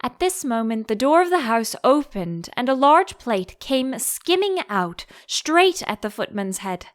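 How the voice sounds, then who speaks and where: A woman reading a children's storybook aloud as an expressive audiobook narrator. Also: fairly high pitch, measured pace.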